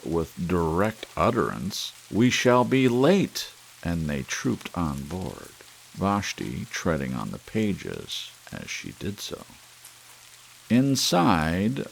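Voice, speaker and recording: A man reading an audiobook in an ASMR style, deliberately and with expressive phrasing, in a deep, gravelly voice. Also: a little hiss or hum, poor audio. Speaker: audiobook narrator. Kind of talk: scripted ASMR audiobook narration. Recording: faint rain sounds behind voice. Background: steady soft rain.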